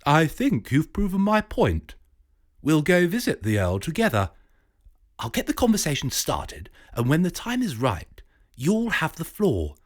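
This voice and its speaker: A man's voice: fairly high pitch, wildly swooping pitch, measured pace, voice pushed up, full character voice. A man voicing a genial, scheming British professor with a jovial, clipped upper-class manner.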